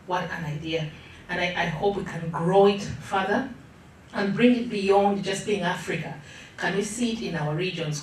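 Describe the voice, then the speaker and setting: A middle-aged woman with a Ugandan accent, an association official speaking earnestly and in a measured way in an interview, with frequent ums. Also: on the low side, a little hiss or hum. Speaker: local government association official. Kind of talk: testimonial interview. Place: large room. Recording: very echoey room, mic set far off.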